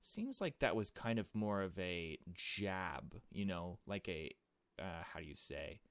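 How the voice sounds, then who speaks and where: A man's voice: low-pitched, sing-song, a little slow, no echo. A man giving casual gameplay commentary, thinking aloud as he goes. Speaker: gaming video host.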